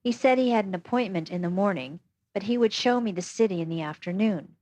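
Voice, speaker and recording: A woman reading a first-person memoir aloud as scripted narration, steady and even. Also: mid-range voice, fairly quick. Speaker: audiobook reader.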